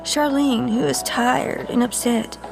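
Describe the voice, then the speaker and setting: A woman's voice: sits high, fairly even intonation, takes it slowly, gravelly, over music, full character voice, poor audio. A woman playing a teasing, ominous storyteller, narrating an audio drama in a mischievous, theatrical manner. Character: teasing, ominous female storyteller game master.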